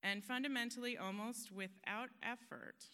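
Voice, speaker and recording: Bright, smooth woman in a reverberant hall. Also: a little high, steady tone, normal speed. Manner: earnest, emphatic, laughs at herself. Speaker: conference speaker. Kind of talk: motivational stage talk. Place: conference hall stage.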